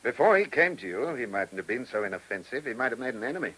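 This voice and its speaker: Husky, animated British police inspector. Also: male voice, medium pitch, quick pace, low-quality recording. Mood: businesslike, probing. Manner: questioning, polite, insistent. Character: probing, businesslike police chief inspector, polite but insistent. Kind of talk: British radio play acting. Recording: old radio drama recording.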